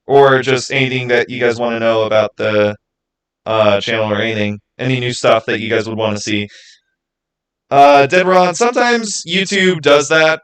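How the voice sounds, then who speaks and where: A man hosting a livestream, talking informally and haltingly as he thinks aloud and answers his viewers. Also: medium pitch, a bit bright, poor audio. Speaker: streamer hosting a live channel Q&A. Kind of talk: casual livestream chat, answering viewer comments.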